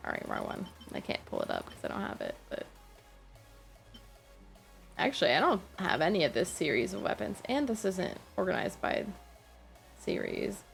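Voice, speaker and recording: A woman livestreaming a game with casual, rambling commentary, thinking aloud in a halting way and questioning herself. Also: on the low side, big pitch swings, creaky, soft background music.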